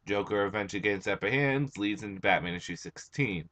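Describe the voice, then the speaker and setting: Hoarse, just-woken young man. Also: lowish voice, brisk, a bit bright. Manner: rambling, chatty, with frequent "uh" and restarts. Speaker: comic book reviewer. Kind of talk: casual video review.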